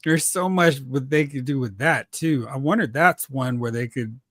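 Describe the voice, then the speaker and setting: A man hosting a casual panel chat in a slangy, animated way. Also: mid-range voice, normal speed, no room sound, studio quality. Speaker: podcast host. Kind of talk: casual panel chat about pop culture.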